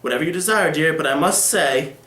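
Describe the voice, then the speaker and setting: A man reading the part of a stately aristocratic gentleman who protests in a scandalized, formal way. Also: on the high side, fairly quick. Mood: shocked protest. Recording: echoey room.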